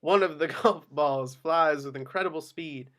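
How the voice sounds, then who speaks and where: Male stage-directions narrator, fairly high voice, scripted screenplay read. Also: normal speed, fairly bright tone.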